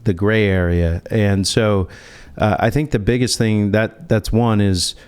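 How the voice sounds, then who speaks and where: Smooth, low-voiced man explaining things. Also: steady tone, measured pace, darkish tone. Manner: explanatory, poses rhetorical questions. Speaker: financial advisor guest. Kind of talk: conversational interview answers.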